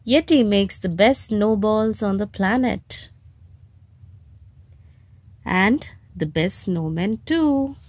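Slow-paced woman reading a children's storybook. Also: mid-range voice, expressive, darkish tone, no room sound. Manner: asks the children questions while reading. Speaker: storybook reader and host of children's story time. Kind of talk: read-aloud picture book narration for young children. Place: home bedroom, indoors.

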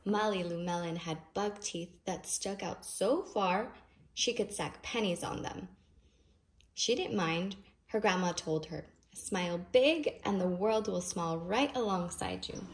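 Woman reading a storybook, low creaky voice. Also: unhurried. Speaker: storybook reader. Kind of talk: expressive read-aloud of a children's picture book. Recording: noisy home recording with clicks.

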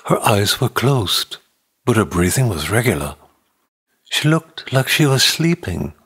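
A man in his sixties with a husky voice, giving a tense, dramatic audiobook reading of a mystery novel close to the microphone. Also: lowish voice, sing-song, a little slow, a bit bright. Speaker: audiobook narrator. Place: indoor room, office or home studio. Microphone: close condenser microphone on a boom arm.

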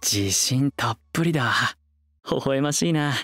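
Lively, polite young man. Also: mid-range voice, a little slow, dry recording. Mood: gentle, amused. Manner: polite, reactive, dramatic. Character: kindly young man humoring a child, easily flustered. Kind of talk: Japanese voice-anime comedy acting. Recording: music bed behind the voice.

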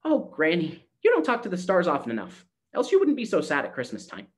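A man reading a storybook aloud, voicing a cheerful, eager poor little girl with a bright, pleading tone. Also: high voice, brisk, voice pushed up. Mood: bright hopeful. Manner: bright, excited, breathless pleading.

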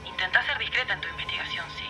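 An actress with a Rioplatense accent playing a businesslike, cautious woman researcher, speaking in a hurried way over a phone call in an audio drama. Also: on the low side, music in the background. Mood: serious, cautious. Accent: Rioplatense Spanish. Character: Cristina, brisk, cautious woman researcher friend. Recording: phone call with clear ambient noise.

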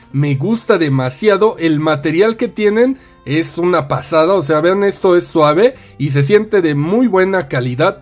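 A man with a Mexican Spanish accent giving a candid, chatty unboxing review of collectible figures. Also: a little high. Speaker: toy reviewer.

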